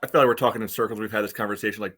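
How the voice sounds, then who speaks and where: A man's voice: on the low side, fairly even intonation. A man co-hosting a soccer fan podcast, talking casually with frequent fillers. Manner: quick, bright, lots of fillers. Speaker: podcast co-host. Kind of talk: casual chat.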